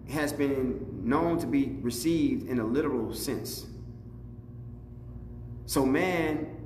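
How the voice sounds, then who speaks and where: A middle-aged man, an imam, giving a religious teaching address in a deliberate, explanatory way, repeating phrases for emphasis. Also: medium pitch. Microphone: lapel mic. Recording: echoey room, reverb, faint background noise.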